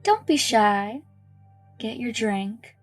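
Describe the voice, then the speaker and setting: An actress playing a pious, calmly menacing schoolteacher in a horror audio drama, preaching in a measured way. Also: female voice, on the high side. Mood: coaxing, sinister. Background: music.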